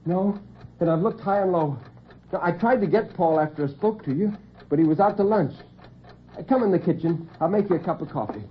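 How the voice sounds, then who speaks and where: A man's voice: sits high, fairly quick, a little hiss or hum, pitched above the performer's own voice, natural voice. A man voicing a worried, confiding married woman in classic radio drama. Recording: muffled old radio recording.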